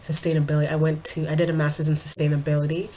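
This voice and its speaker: A young woman vlogger and poet chatting casually to her camera at home, her voice a little raspy from a cold, with frequent ums and small laughs. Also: low voice, flat delivery, very fast, warm tone, slight background noise. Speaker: vlogger and spoken word poet.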